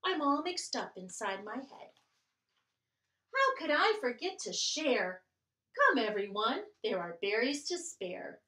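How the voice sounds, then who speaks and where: A woman voicing a gentle, apologetic male bear in a picture book, in a sweet, sing-song rhyming manner. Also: fairly high pitch, very animated, slow pace, reverberant, recorded from a distance, full character voice. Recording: voice a bit off-mic.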